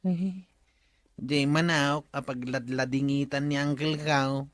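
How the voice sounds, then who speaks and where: A man's voice: medium pitch, fairly even intonation, unhurried, fairly bright tone, dry recording, lightly acted. A man playing a simple, cheeky village drinking buddy in a comedy radio drama. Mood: sheepish.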